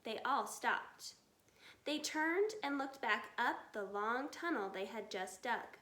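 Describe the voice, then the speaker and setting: A woman narrating a children's story aloud in an expressive reading style. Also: on the high side, slow pace. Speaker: storybook reader. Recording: echoey, reverberant room.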